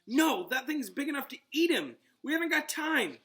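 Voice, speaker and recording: A man's voice: very high-pitched, big pitch swings, normal speed, fairly bright tone, voice pushed up, full character voice. A man reading a storybook aloud, voicing a brave, determined teenage wizard boy in an urgent, terse way.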